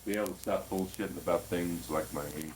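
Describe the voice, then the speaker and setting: An actor playing an earnest man in his forties, coaxing and animated as he talks. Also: deep voice, quick pace, slight background noise, lightly acted. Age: 40s.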